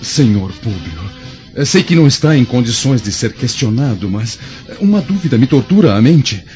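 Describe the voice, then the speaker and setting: A man's voice: medium pitch, big pitch swings, normal speed, a little mellow, lightly acted. A Brazilian man playing a young, loyal, concerned Roman officer in a radio drama, formal and theatrical in delivery. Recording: dull lo-fi audio, music underneath.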